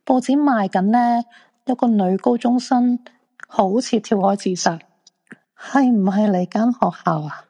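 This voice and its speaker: An actress plays a probing, chatty woman in a Cantonese radio drama. Her Hong Kong voice is soft-toned and mellow, trailing long reverb. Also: a little high, measured pace, breathy edge. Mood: probing, awkward.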